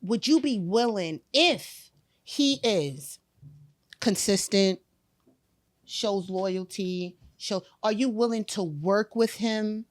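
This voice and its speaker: A woman in her late forties hosting a podcast in casual chat, blunt and animated, acting out quotes as she tells stories. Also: mid-range voice, slow. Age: 40s. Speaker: podcast host.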